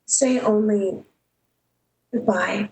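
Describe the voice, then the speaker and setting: A woman's voice: mid-range voice, very slow, a little mellow. A woman playing a proud, lovestruck young woman in an emotive, melodramatic 1930s-style radio drama. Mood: hurt, proud. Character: lovestruck, proud young switchboard operator, heartbroken. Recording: roomy echo, background noise.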